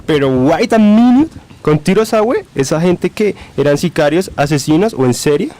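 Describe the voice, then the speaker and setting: A man's voice: on the high side, animated, moderate pace, dark tone, slight background noise, close to an everyday voice. Man as naive slangy Colombian grandson. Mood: naive curiosity. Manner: Spanish slang peppered with English. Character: young slangy city grandson, whiny but curious, naive. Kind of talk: amateur radio drama acting.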